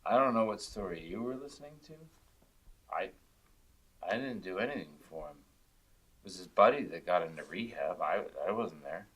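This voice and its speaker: An actor playing a drunk, grieving widower who rambles and stammers bitterly in a film drama. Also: male voice, low-pitched, expressive, moderate pace, pauses often, fairly bright tone, poor audio. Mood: defensive, bitter. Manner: rambling, combative, stammering venting. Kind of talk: naturalistic film drama dialogue.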